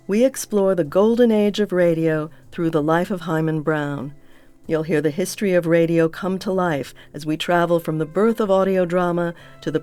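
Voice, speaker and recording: A woman's voice: on the low side, darkish tone, clear, resonant tone. A woman reading a polished, scripted podcast promo as an announcer.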